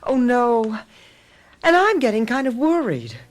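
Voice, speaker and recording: A highly animated, somewhat high voice, as a homely, fretful neighbor. She chatters worriedly in old radio drama fashion. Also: unhurried. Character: Alma, fretful, chatty housewife next door.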